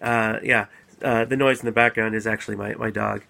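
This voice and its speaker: A man recovering from a cold, calmly explaining his views in a casual solo video talk, with frequent fillers and restarts. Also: on the low side, brisk, gravelly, slight background noise. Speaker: apologetics speaker. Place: at home.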